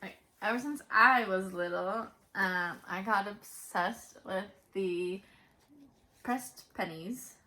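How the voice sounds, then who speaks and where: A woman's voice: mid-range voice, slow, bright, forceful tone, rough recording. A woman in her early twenties chatting casually as a vlog co-host, reading out questions and giving her own answers. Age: early 20s. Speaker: co-host of a Disney fan vlog.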